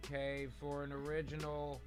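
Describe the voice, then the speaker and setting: A man with a fairly high voice hosts an informal show over faint background music. He chats loosely, thinks aloud and swears without restraint. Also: monotone, normal speed. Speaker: host of a live improvised radio drama show. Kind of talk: casual banter, typing and reading aloud.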